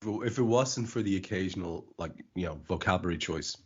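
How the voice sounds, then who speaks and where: A deep-voiced man with a mild Irish lilt hosts a relaxed podcast chat, tripping over repeated words as he goes. His tone is low and a little husky, and the audio comes through dull and lo-fi. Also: brisk. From Ireland. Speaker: podcast host. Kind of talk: casual chat.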